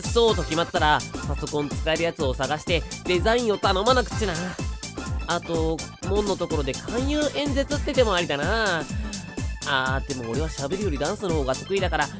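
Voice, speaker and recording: Woman doing confident teen boy, anime drama, lowish boyish voice, musing out loud. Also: animated, quick pace, close to an everyday voice. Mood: eager, planning. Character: eager, self-assured teenage boy, school dancer. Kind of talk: Japanese anime-style voice drama acting. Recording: music playing behind.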